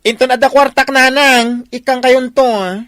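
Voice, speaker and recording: Woman acting whiny effeminate ilocano son, radio drama, slightly high, no echo. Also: steady tone, moderate pace, fairly bright tone. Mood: plaintive.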